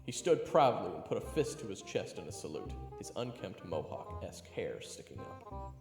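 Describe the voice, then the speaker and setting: A man's voice: deep voice, sing-song, moderate pace. A man playing a brash, wisecracking adventurer who narrates the tale and banters, in an echoing recording with music. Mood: wry narration. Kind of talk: first-person story narration and banter. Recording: roomy echo, long reverb, loud music.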